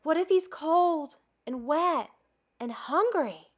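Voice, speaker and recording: A woman's voice: high-pitched, lively intonation, slow pace, pitched above the performer's own voice. A woman voicing an anxious, devoted male toad in a storybook, speaking with dramatic emphasis. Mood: worried, fearful. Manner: dramatic, emphatic worrying and questioning.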